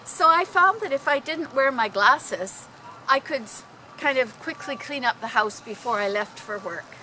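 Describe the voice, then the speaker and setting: A woman telling personal stories informally to a group, in an animated, dramatic manner. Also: sits high, unhurried, soft background music, low-quality recording. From Canada. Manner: animated, dramatic, acting out exclamations. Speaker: storyteller.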